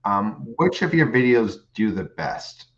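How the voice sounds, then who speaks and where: A man's voice: on the low side, sing-song, a little slow, fairly bright tone, muffled recording. A man from Canada hosting a casual podcast interview, chatty and jokey with stumbling restarts. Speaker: podcast host.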